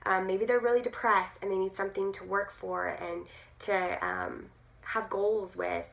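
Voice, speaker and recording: Hoarse, gruff-voiced woman with creaky fry. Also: medium pitch, steady tone, a little slow, low-quality recording. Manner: chatty, many "um" fillers, upbeat. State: hoarse, losing her voice. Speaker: direct sales team leader. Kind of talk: casual talk to camera.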